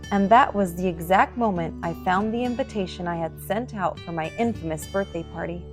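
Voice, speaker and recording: A woman reading a picture book aloud for children in a dramatic, expressive storytelling manner. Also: on the low side, brisk, faint music behind.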